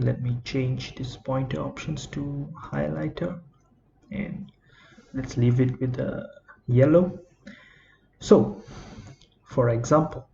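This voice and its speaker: A man from Singapore, walking through slides with halting speech and frequent filler sounds. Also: medium pitch, dark tone, slight background noise. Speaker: astrology presenter. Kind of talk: slide walkthrough narration. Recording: slightly muffled lo-fi recording.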